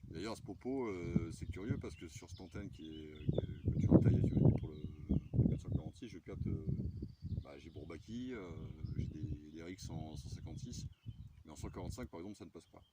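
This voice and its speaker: A man's voice: low voice, lively intonation, fairly quick, heavy background noise. A man chatting in slangy, laid-back fashion as an amateur radio operator, rambling and correcting himself. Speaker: amateur radio operator filming his radio chat. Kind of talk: casual chat, slangy.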